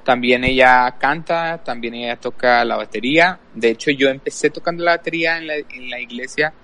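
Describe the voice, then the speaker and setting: Male podcast guest, medium pitch, unscripted personal storytelling, hesitant delivery. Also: a little fast, sharp tone. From El Paso, Texas, USA. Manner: hesitant, thinking aloud. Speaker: podcast guest, worship leader.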